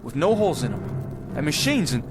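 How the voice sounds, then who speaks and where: A hopeful pioneer farmer in a radio drama, with a fairly high male voice. He speaks with rustic, visionary fervor over clear background music. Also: very animated, normal speed. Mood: fervent hope. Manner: fervent, rustic speech. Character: hopeful, visionary pioneer farmer leading a wagon train west. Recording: music behind the voice.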